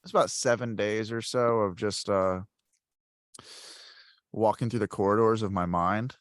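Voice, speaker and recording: A man hosting a podcast in casual chat, telling stories in a rambling, animated way with many fillers. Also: on the low side, unhurried, dry recording, studio quality. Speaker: podcast host.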